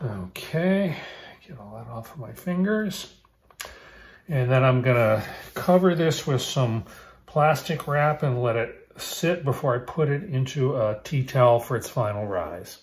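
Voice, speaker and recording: A man in his fifties hosting a home cooking show, explaining each step in a relaxed way as he works in his kitchen. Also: mid-range voice, a little slow. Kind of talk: casual cooking demonstration.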